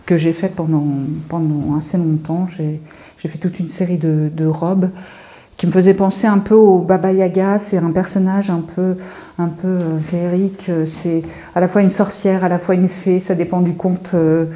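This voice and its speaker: A woman in her fifties speaks with a very dark, mellow tone and a fairly low pitch. She feels her way through her recollections, pausing and searching for words. The delivery is informal and reflective, like an artist guiding a visitor around her work. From France, Paris suburbs. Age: 50s. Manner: hesitant, halting, reflective storytelling. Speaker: visual artist being interviewed. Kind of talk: informal guided-tour interview.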